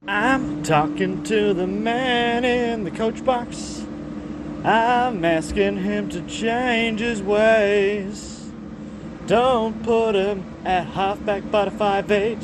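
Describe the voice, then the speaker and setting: A man sings a parody song slowly in a high voice. It is a caller's voicemail with clear vehicle noise around him. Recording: noisy voicemail, vehicle sound.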